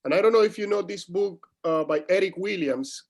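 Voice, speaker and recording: Higher-voiced Cuban man, halting delivery. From Cuba. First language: Spanish. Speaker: guest, history academic. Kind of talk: scholarly interview conversation.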